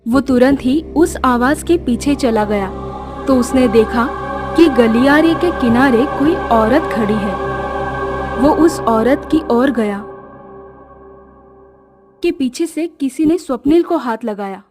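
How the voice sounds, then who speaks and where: A woman's voice: sits high, takes it slowly, pauses often. A woman narrating a scripted horror story as a storyteller. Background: music.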